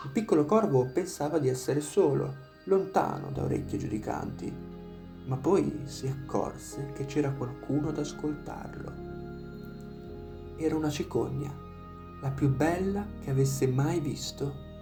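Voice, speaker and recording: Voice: medium pitch, unhurried, vocal fry. A storyteller reading a scripted fairy tale narration over instrumental music.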